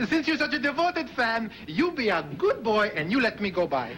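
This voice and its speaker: An actor playing a brash, vain Italian opera singer with a put-on Italian accent, over studio audience laughter. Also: male voice, extremely high pitch, big pitch swings, moderate pace, slight background noise, full character voice, low-quality recording. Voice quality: sing-song, brash. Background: loud audience laughter.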